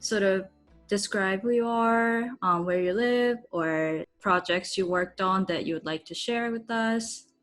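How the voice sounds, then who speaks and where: A woman's voice: medium pitch, measured pace, a bit bright, soft background music. A woman conducting a casual interview, asking questions and commenting in a thoughtful, conversational way. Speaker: interviewer, film producer.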